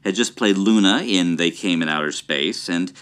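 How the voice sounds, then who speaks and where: A man's voice: low voice, normal speed, a bit bright. A man playing an enthusiastic, verbose B-movie buff who gives gleeful, pompous documentary-style commentary in an audio drama. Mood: knowing, amused.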